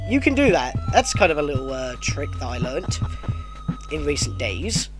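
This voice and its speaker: A man with a stuffy nose from a cold, casually commenting on his gameplay as the host, chatty and correcting himself as he goes, getting excited at battles. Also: high-pitched. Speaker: let's play host.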